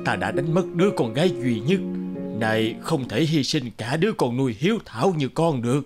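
A man's voice: medium pitch, animated, fairly quick, music in the background, lightly acted. A man voicing a caring, solemn middle-aged king and father in a fairy-tale cartoon. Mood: sorrowful. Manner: solemn, emotive.

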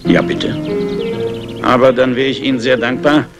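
An actor playing a courteous, guarded English aristocrat investor in a 1960s German crime radio play. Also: male voice, medium pitch, little pitch movement, moderate pace, music in the background, natural voice. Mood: polite. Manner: courteous, urbane.

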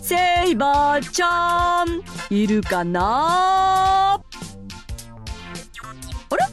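A woman's voice: very high-pitched, expressive, very slow, a bit bright, full character voice. A woman playing a boisterous, playful, nosy big-sister character in an anime-style game, with a theatrical manner. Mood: cheerful teasing. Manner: theatrical, singsong, exaggerated. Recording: background music under the voice.

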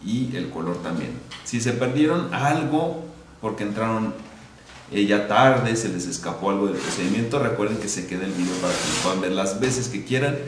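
A man's voice: fairly low pitch, animated, moderate pace. Man, live stream co-host, chatty, echoey, faint hiss. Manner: chatty, reads out viewer questions and promotes the channel. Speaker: livestream co-host reading viewer comments. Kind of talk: casual live stream hosting. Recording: echoey kitchen livestream.

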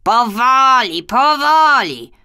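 Loud, kindly storybook crow. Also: female voice, fairly high pitch, big pitch swings, clear, resonant tone, voice pushed up, full character voice. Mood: hesitant, friendly. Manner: repeats words, slow and cawing, emphatic. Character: kindly, hesitant grey female crow. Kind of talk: children's audiobook character acting.

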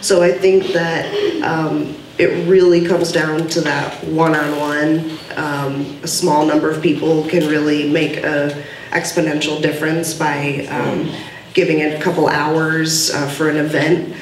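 A woman's voice: low-pitched, flat delivery, unhurried. A woman moderating a panel discussion at a podium, speaking earnestly with many fillers and taking audience questions. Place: gallery event room, indoors. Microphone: podium mic from a far camera. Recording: roomy, distant, faint hiss.

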